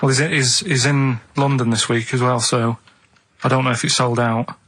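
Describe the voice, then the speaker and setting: A man reading out quiz clues and chatting casually on a radio show, in a halting, matter-of-fact way. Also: medium pitch, steady tone, fairly bright tone. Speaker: radio show regular running a quiz feature. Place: radio studio.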